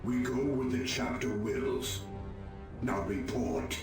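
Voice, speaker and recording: An actor playing a stern, commanding space marine captain in a game, curt and authoritative. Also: male voice, mid-range voice, slow pace, heavy reverb, music in the background, natural voice. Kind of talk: scripted game cutscene acting.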